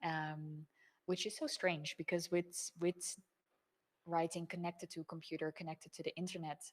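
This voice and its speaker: A young woman whose first language is Dutch, speaking thoughtfully in an interview about her design work and pausing to find her words. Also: fairly low pitch, little pitch movement. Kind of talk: relaxed conversational interview.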